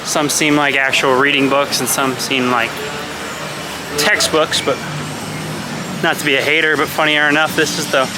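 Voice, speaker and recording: A man's voice: fairly high pitch, background noise. A man vlogging as he walks through a busy outdoor street market, talking casually with wry, offhand asides into a handheld camera. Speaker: travel vlogger. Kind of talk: walking travel commentary.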